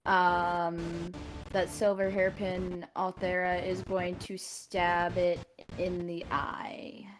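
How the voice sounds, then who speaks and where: Slow, hesitant woman playing a tabletop game. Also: lowish voice, monotone, music in the background. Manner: hesitant, thinking aloud. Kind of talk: casual unscripted game play chat.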